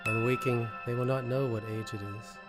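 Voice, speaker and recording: A man reads his own poetry in a measured, deliberate way, pausing between short phrases. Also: medium pitch, fairly even intonation. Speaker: poet reading own work. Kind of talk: poetry reading. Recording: musical score under the voice. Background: original instrumental music.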